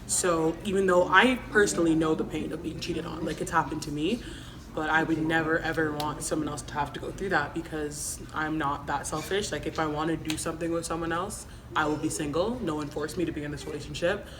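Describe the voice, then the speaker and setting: A woman's voice: sits low, fairly even intonation, fairly quick. A young woman hosting a casual group debate, speaking in an emphatic, opinionated way. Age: young adult. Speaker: panel host reading questions. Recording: noisy room ambience.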